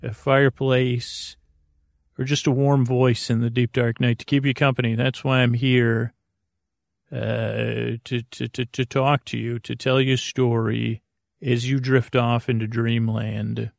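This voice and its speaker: A man with a creaky voice hosting a bedtime podcast, meandering haltingly through tangents with lots of drawn-out uhs. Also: medium pitch, little pitch movement, dry recording, clean studio sound. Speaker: podcast host. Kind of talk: rambling bedtime sleep podcast monologue.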